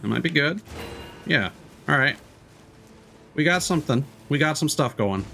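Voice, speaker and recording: A man's voice: on the high side, lively intonation, moderate pace, gravelly, pushed and bright. A man who streams video games, giving casual, sweary live commentary as he talks to himself and the game. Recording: game music behind the voice. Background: game music.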